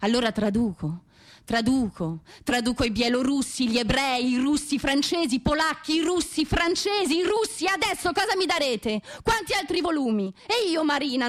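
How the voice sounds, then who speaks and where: An actress playing an anguished, defiant Russian woman poet, lonely and destitute, in a theatrical radio monologue with dramatic, declamatory phrasing and deliberate pauses. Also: high-pitched. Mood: weary, anguished, then frantic, indignant.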